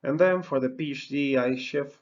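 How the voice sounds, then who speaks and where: A man's voice: medium pitch, moderate pace, a little mellow, muffled recording. A middle-aged man from the Republic, giving an extension webinar talk and filling his sentences with uh and um and restarts. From Dominican Republic. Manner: lots of uh and um, frequent restarts. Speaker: university horticulture researcher.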